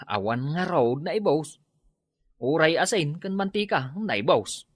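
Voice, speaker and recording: A man's voice: a little high, animated, slow pace, no echo, studio quality. A man with a Filipino Ilocano accent playing a stingy, dismissive neighbour, curt and mocking, in a radio comedy drama. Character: stingy, dismissive neighbour scolding a lazy moocher.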